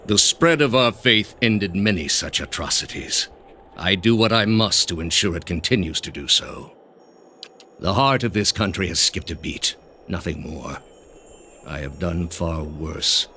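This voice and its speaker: A deep, imperious zealot grandmaster. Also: male voice, pushed and bright, slight background noise. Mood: grave, unrepentant. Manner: pompous, deliberate, condescending. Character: cold, imperious male grandmaster of a zealous ancient order. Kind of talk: dramatic video game dialogue.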